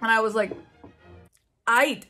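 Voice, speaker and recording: A woman's voice: medium pitch, big pitch swings, a little slow, faint music behind. A woman in her twenties giving casual, sweary, incredulous reaction commentary as she reads aloud in a room at home. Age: 20s. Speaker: book YouTuber. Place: small room at home with bookshelves.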